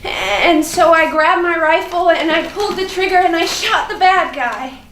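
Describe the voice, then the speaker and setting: A woman playing an innocent, chatty but fearful first-grade girl in a theatrical monologue, halting and repeating her words. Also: very high-pitched, lively intonation, a bit bright. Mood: frightened. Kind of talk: theatrical monologue at a staged reading. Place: indoor room at a live staged reading. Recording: roomy, distant, noisy live stage recording.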